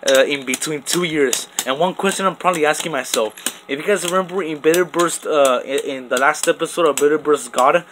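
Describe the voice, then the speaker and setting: A man's voice: a little high, background noise. A young man, possibly a teen, chatting off camera as he runs toy top battles, rambling with lots of "like" and restarts. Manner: rambling, full of "like" and "literally", many restarts. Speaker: toy battle channel host. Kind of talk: casual off-camera commentary over toy battles.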